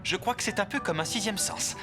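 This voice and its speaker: A man's voice: high voice, brisk, natural voice. A man voicing a self-assured engineer who boasts about building robots. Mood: boastful. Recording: music under the dialogue.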